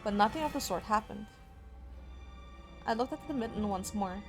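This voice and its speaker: A woman's voice: mid-range voice, lively intonation, normal speed. A woman game streamer reading a horror visual novel aloud, dramatically, with spontaneous asides. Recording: music behind the voice. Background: game music.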